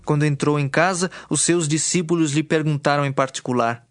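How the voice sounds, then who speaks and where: Brazilian guy narrating a bible audio drama, voice a bit high, music behind. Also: fairly even intonation, brisk. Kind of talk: scripted narration of dramatized scripture.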